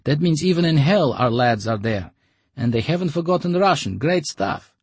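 Brash haggling broker, raised and loud, as the male narrator voices him; husky, dry. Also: a little high, expressive, normal speed, no room sound, pitched above the performer's own voice. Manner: loud, pushy, bargaining.

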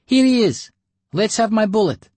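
A man voicing a shrill, greedy little girl who shrieks her demands. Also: big pitch swings, moderate pace, a little mellow, no room sound. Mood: demanding.

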